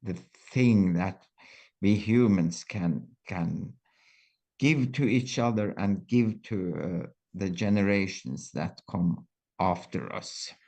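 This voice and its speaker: Slow gravelly older man, halting. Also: low voice, darkish tone. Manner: halting, many fillers and restarts. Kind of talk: reflective interview answers.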